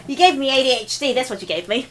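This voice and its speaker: Bright-voiced teasing woman with a Kiwi accent. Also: sits high, fast talker. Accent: New Zealand. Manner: playful, teasing, deadpan jokes. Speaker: podcast co-host. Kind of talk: casual podcast banter.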